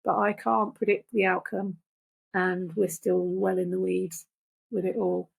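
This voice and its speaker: An older woman, a podcast guest, talking reflectively and earnestly in deliberate phrases. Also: on the low side, level tone, gravelly, husky. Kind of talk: reflective conversational talk.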